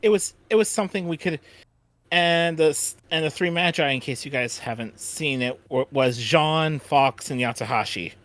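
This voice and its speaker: A man hosting a casual fan chat in a halting way, often repeating phrases as he goes. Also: fairly high pitch, fairly bright tone, no echo. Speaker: host of a fan discussion.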